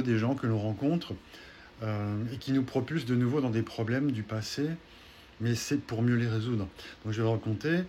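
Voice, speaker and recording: A man's voice: on the low side, darkish tone, a little hiss or hum. A man who does soul healing, telling one session's story to his online followers in a hesitant, casual way with many fillers and restarts. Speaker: soul healer sharing a session. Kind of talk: casual spoken testimony.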